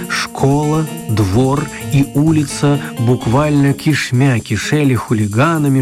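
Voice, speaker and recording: A man narrating a scripted children's story in a measured, expressive manner. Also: mid-range voice. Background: music.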